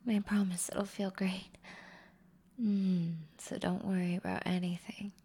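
A woman voicing an affectionate, ancient horned and tailed demon woman in an intimate audio roleplay, murmuring softly to the listener in a breathy whisper. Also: medium pitch, little pitch movement. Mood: reassuring. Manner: soft, slow, intimate murmuring.

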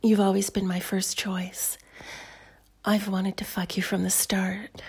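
A woman playing a lustful, coaxing seductress in an intimate audio roleplay for adults, speaking to her lover in a breathy, whispery, drawn-out way. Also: medium pitch, little pitch movement, darkish tone. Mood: hushed, seductive. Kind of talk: intimate erotic audio roleplay addressed to the listener.

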